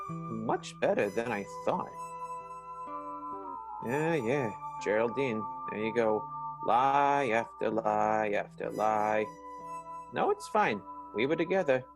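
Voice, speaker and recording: A man's voice: medium pitch, vocal fry. A man playing an awkward, earnest, lovelorn boss in an improvised radio play, with hesitant, stammering delivery. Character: awkward, nervous, lovelorn male office boss.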